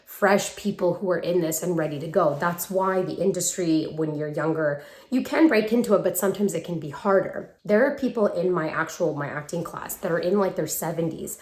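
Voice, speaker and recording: A woman's voice: fairly low pitch, a little fast, darkish tone. Echoey, chatty young woman vlogger. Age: 20s. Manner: chatty, emphatic, addresses viewers affectionately. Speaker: vlogger and acting coach. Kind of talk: casual talking-head advice to viewers. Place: room at parents' home, brick wall. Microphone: handheld phone. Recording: roomy echo from a hard-walled room.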